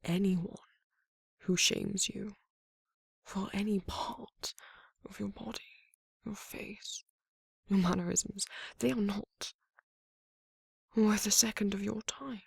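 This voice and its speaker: A performer voicing a tender, courtly vampire lover in an ASMR romance roleplay, breathy and often whispering, with slow, soothing, formal speech. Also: mid-range voice, fairly even intonation, no room sound, exaggerated voice. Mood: protective, indignant.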